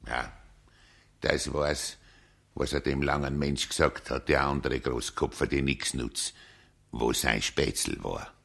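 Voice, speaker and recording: A very low, bright and forceful male voice as a folksy Bavarian fellow in a comic radio play. He is simple and indignant, with a thick Bavarian accent, and goes round in circles as he talks. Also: takes it slowly, creaky, husky, heavily acted. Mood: indignant, emphatic. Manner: folksy, clumsily repetitive storytelling. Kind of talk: comic radio drama monologue.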